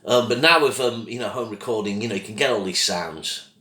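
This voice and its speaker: A man hosting his own channel with a casual, rambling album review, his voice croaky from a throat infection, coughing now and then. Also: lowish voice, fairly quick, sharp tone, roomy sound. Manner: rambling, loses track of names, apologetic.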